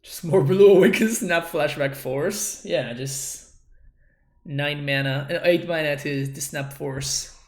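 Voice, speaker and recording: A man's voice: fairly high pitch, slow, darkish tone, reverberant. A man from Argentina, a native Spanish speaker with a Spanish accent, casually commenting on his own play as a streamer, thinking aloud with wry, self-deprecating remarks and frequent restarts. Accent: Spanish-accented English.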